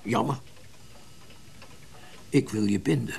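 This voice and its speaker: An actor playing a lonely, possessive company boss in a radio play, musing and intimate. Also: male voice, mid-range voice, slow pace, creaky, darkish tone, slight background noise. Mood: persuasive, fond. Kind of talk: naturalistic Dutch radio drama acting.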